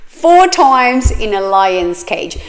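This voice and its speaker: A woman, chatting casually and telling her own life story with plenty of fillers. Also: high voice. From Australia. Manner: chatty, many fillers, laughs often.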